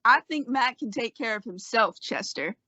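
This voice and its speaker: A woman's voice: on the high side, expressive, normal speed, pushed and bright, no echo, muffled recording. A woman in an old-time western radio play, voicing a crusty, teasing, long-winded frontier doctor.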